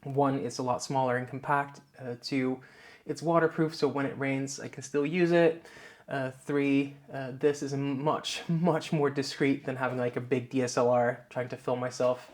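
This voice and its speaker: Dutch man, mid-pitched, light Dutch accent, relaxed rambling vlogger with plenty of "uh"s, clip-on mic in a home office. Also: moderate pace, few pauses. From Netherlands. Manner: relaxed, many filler "uh"s, rambling explanations. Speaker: YouTuber. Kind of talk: casual vlog talk to camera. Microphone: wireless clip-on mic.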